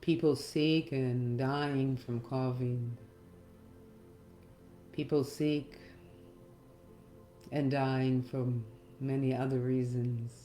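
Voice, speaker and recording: Slow, mellow middle-aged woman guiding meditation. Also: very deep voice, fairly even intonation. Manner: gentle, measured, with pauses. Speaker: meditation guide and hypnotherapist. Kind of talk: reflective guided meditation talk. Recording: roomy echo, faint music underneath. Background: faint music.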